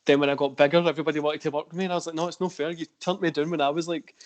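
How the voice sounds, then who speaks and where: Higher-voiced Scottish man hosting. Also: level tone, racing. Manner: meandering, many restarts. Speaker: podcast host. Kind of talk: casual podcast chat.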